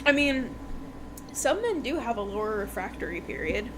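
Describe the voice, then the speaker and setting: A woman with a somewhat high voice hosts a casual chat show. She tells things in a loose, rambling, conversational way. Noise is clearly audible behind her. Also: sing-song, moderate pace. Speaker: podcast host reviewing romance books. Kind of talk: casual book recap chat. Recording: noticeable background noise.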